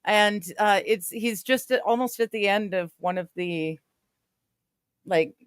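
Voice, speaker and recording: A woman's voice: mid-range voice, unhurried, clean tone, no echo, clean studio sound. A woman in her forties chatting casually with friends on a stream, laughing often and poking fun at herself. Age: 40s. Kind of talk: casual chat.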